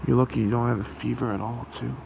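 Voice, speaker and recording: Guy doing a kind fox creature for asmr, creaky voice, soothing and protective, bit of background hum. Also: medium pitch, fairly even intonation. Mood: caring. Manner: soothing, reassuring, halting. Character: gentle, protective male fox creature caring for someone. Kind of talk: ASMR roleplay, soft comforting talk.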